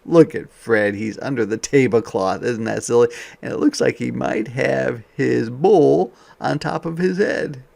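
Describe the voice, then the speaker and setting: A man reading a picture book aloud to young children as a teacher, expressive, with softer conversational asides. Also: mid-range voice, measured pace, creaky.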